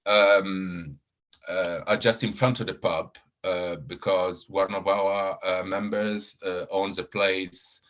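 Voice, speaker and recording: Low-voiced man with many fillers, lo-fi. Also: steady tone, takes it slowly, a bit bright. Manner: hesitant, many fillers and repeated words. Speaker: supporters club founder, guest. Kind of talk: casual podcast chat. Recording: dull lo-fi audio.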